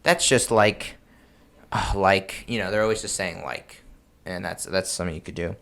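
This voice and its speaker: A man's voice: low voice, moderate pace, gravelly, a bit bright. A man hosting a podcast, explaining things in an informal, casual way with many filler words and self-interruptions. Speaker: podcast host giving game master advice.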